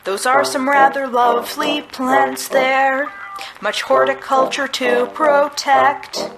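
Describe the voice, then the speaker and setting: Woman playing a high-voiced, wry androgynous detective. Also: little pitch movement, slow pace, rough recording. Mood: musing. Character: wry, down-on-their-luck androgynous private detective. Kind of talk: musical detective adventure game acting, part sung. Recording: loud music behind voice. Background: loud music.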